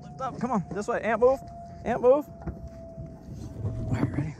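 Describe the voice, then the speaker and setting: A man coaching his young sons through catching fish, giving quick, repeated instructions from a small boat. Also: extremely high pitch. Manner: excited, rapid instructions, repeats himself. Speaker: father fishing with his kids. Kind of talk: casual coaching while filming. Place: small boat on open water outdoors. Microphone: action camera on the boat. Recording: wind and boat noise outdoors.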